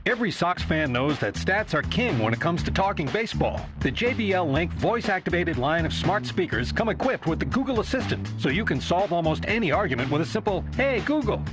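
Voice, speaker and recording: Brief: male ad voice, high and very animated, music clearly under him. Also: brisk, few pauses. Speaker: commercial announcer. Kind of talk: upbeat radio ad read.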